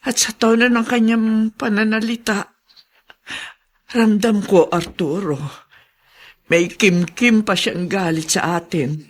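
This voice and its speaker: An actress playing a worried wife who fears an old grudge, in a radio drama. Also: female voice, mid-range voice, steady tone, slow pace, long pauses. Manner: anxious, hesitant. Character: worried older wife, anxious.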